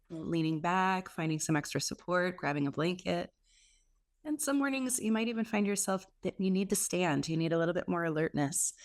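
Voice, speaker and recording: A woman leading a guided meditation as a teacher, speaking in an unhurried way with gentle pauses between phrases. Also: fairly low pitch. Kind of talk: guided meditation, softly instructive.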